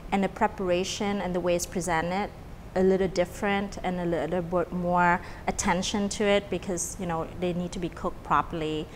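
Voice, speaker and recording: A woman's voice: fairly low pitch, fairly even intonation, moderate pace, long reverb tail, slight background noise, low-quality recording. A middle-aged woman from Vietnam, a restaurant owner chatting casually in an interview, with many fillers and restarts. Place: restaurant dining room.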